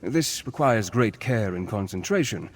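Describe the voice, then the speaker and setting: An actor playing a learned, cautious scholar of ancient ruins in a fantasy game, speaking in a measured, formal, earnest way. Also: male voice, lowish voice, lively intonation, husky. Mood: scholarly, intent. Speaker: quest-giving game character. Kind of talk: scripted fantasy game dialogue.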